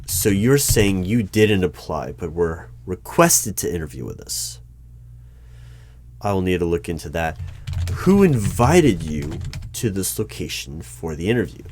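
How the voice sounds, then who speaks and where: A man with a very low voice plays a hiring manager in an ASMR interview roleplay. He speaks slowly and formally, in a dry, halting way. Keyboard typing and noticeable noise sit behind him. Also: big pitch swings, darkish tone. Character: hesitant, politely bureaucratic male hiring manager of secret bureau. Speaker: roleplay performer. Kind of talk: one-sided ASMR roleplay as a job interviewer. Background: computer keyboard typing.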